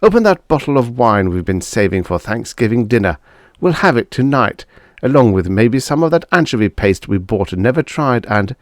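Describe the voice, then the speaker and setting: A man's voice: medium pitch, very animated, normal speed, hardly any pauses, no room sound, clean studio sound, pitched above the performer's own voice, close to an everyday voice. A man voicing a weary, stubborn but tender husband in an audiobook story. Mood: forced cheer.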